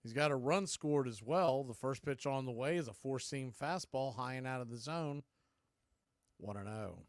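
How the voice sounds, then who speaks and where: A man calling a baseball game live in radio play-by-play style, in short clipped phrases between pitches. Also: normal speed, no echo, studio quality. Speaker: play-by-play baseball announcer and stream host.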